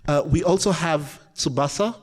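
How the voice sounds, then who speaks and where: Guy hosting a panel, kinda high voice, short intros, echoey hall. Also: normal speed, husky. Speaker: host.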